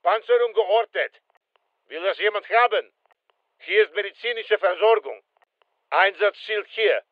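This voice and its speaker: High-pitched urgent special forces soldier, band-limited. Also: male voice, lively intonation, unhurried, no room sound, phone-quality sound. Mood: terse, businesslike. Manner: clipped, commanding short callouts. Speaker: video game voice actor. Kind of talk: military shooter callouts.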